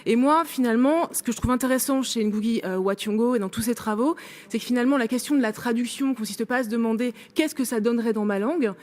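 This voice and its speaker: A woman's voice: a little high, fast talker, a little mellow. A woman making a long academic remark in a workshop discussion, hesitant with many fillers but building long argued sentences. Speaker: workshop participant.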